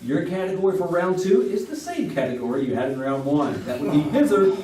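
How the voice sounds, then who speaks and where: A man's voice: fairly high pitch, big pitch swings, brisk, mellow, recorded from a distance. A man announcing a live old-time radio style game show in a formal, presentational way. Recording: echoey hall, off mic, crowd behind.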